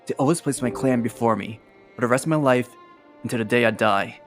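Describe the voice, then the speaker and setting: A man's voice: mid-range voice, fairly quick, natural voice. A man playing an earnest, self-doubting young heir in a drama, speaking in a subdued, sincere manner. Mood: solemn vow. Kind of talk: dramatic game-script acting. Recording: music behind the voice.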